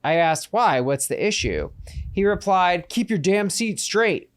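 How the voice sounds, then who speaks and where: A man's voice: high voice, animated, a little slow. Playful male podcast host. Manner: playful, joking, laughs easily. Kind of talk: casual call-in podcast chat and reading a post aloud.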